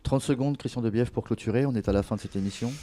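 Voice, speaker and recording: A man's voice: medium pitch, quick pace, warm tone. A man hosting a conversational broadcast interview, asking questions in an informal way. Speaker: interviewer, show host.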